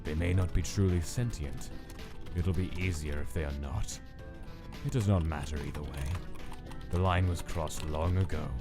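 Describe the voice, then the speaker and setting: A man voicing a cold, clinical male scientist who dictates experiment logs in a detached, measured way. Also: very deep voice, over music. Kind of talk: fan comic dub narration.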